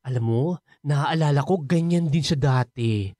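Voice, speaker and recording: A woman's voice: rumbling low, measured pace, mellow, no room sound, clean studio sound. A woman acting a chatty, supportive young Filipino best friend in a radio drama, playful and full of exclamations. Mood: curious, sympathetic.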